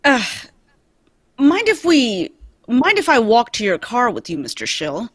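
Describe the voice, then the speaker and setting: Blunt, dogged detective read by a woman; lively, dry close mic. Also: a little high, unhurried, dry recording, exaggerated voice. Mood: stern. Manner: firm, questioning. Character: tough male police detective, blunt and dogged. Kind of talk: live old-time radio table read.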